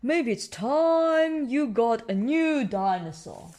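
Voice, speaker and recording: A woman voicing a kindly, careful father pig in a storybook, gently advising with emphasis. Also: fairly high pitch, expressive, slow. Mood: sympathetic.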